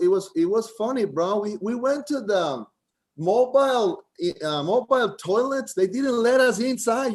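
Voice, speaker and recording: A man with a Costa Rican Spanish accent speaks in a somewhat high voice. He rambles through a stand-up set over a video call, stumbling, restarting, and filling gaps with "man." Spanish is his first language. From Costa Rica. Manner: halting, frequent restarts, keeps saying "man". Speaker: first-time open mic comedian.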